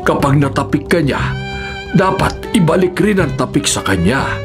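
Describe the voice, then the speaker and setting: A village folk healer with a fairly high male voice speaks gravely over clear music. His radio drama delivery is solemn and deliberate. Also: big pitch swings. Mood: solemn, warning.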